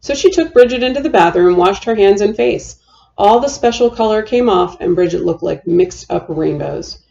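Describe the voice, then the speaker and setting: Female storytime reader, mellow with some gravel, deliberate children's-book cadence, slightly dull lo-fi recording. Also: mid-range voice, animated. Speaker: storytime host. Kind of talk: children's picture book read-aloud.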